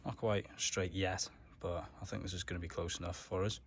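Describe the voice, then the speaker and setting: Low, flat-voiced man, casual DIY tutorial. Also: brisk, creaky, a little hiss or hum. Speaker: DIY car mechanic vlogger. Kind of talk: casual hands-on tutorial narration.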